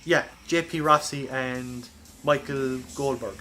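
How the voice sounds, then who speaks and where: A man's voice: medium pitch, fairly even intonation, slow pace, pushed and bright. A man hosting a podcast, casually and insistently explaining a comedic scheme, with some swearing. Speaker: podcast host.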